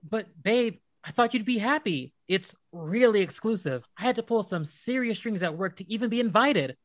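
An eager-to-please young husband in a radio play, played by a woman, gentle and anxious to keep the peace. The American voice is mid-pitched for a woman and recorded dry. The delivery is casual. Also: lively intonation, normal speed, no room sound, lightly acted. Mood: excited. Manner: casual, conciliatory. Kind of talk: modern radio play acting.

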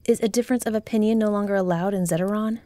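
A woman's voice: mid-range voice, steady tone, racing, dark tone, no room sound, natural voice. A woman playing a proud, guarded noblewoman commander in a fantasy audio drama, speaking formally and haughtily. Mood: sharp, alarmed. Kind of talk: fantasy audio roleplay acting.

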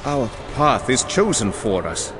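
A man's voice: mid-range voice, very animated, unhurried, noisy background. A man voicing a grim immortal warrior in a dramatised fantasy audiobook, solemn and declamatory. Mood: grim resolve. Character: doubting, grim immortal warrior, rousing commander in battle.

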